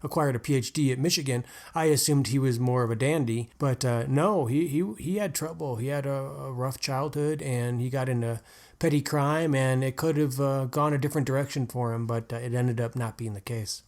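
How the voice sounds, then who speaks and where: A man's voice: medium pitch, brisk, a little mellow. A man co-hosting a podcast, chatting about an author's life in a casual, conversational way, with frequent "like" and "uh". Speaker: podcast co-host. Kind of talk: casual book discussion.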